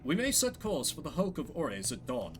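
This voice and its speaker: A man's voice: a little high, huge pitch swings, soft background music. Man voicing a courtly, solemn minstrel guide. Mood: courteous, brisk. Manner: formal, measured, archaic phrasing.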